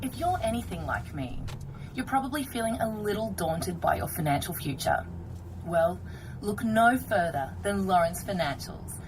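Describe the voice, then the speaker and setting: Ad announcer played by a woman: polished, reassuring sales read, mid-pitched, heard through noisy car speakers. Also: animated, moderate pace, lightly acted. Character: reassuring female financial-firm advertisement announcer. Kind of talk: radio ad read. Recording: car speaker with clear background noise.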